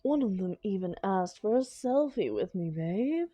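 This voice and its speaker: Woman acting a mellow, slow-talking, doting supervillainess. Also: medium pitch, animated, no echo, lo-fi sound. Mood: defensive, pleading. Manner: theatrical, coaxing, endearments, dramatic pauses. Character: female supervillain, doting, defensive, slyly unrepentant lover. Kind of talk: intimate roleplay audio drama.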